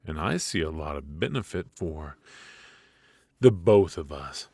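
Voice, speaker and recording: An extremely low-pitched mafia boss, acted by a man for an ASMR roleplay, recorded close and dry. He is smug and menacing, teasing his captive with courteous, ruthless words at an unhurried pace. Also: animated, darkish tone, no echo, full character voice. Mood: coolly threatening. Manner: smooth-talking, teasing, deliberate with drawn-out pauses.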